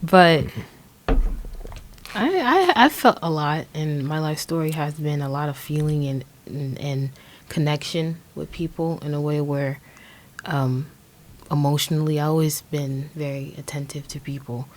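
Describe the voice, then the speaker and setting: A young American woman in her twenties with a low-pitched voice speaks casually on a podcast. She is hesitant and often searches for the right word as she talks about herself. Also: a little slow. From Indianapolis, USA. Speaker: podcast guest, young music artist. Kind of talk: casual conversational podcast introduction.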